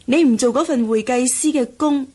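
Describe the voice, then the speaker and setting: Bubbly young Hong Kong best friend, high and husky. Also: female voice, normal speed, dark tone. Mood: teasing. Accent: Hong Kong Cantonese. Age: young adult. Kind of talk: Cantonese radio drama acting.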